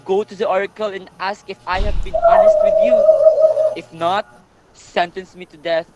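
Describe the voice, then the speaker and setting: A man's voice: sits high, huge pitch swings, moderate pace, lo-fi sound, loud music behind the voice. A man playing a reasonable but indignant nobleman in a readers' theatre tragedy, earnest and formal. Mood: reasoning. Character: reasonable, indignant royal brother-in-law, wrongly accused nobleman.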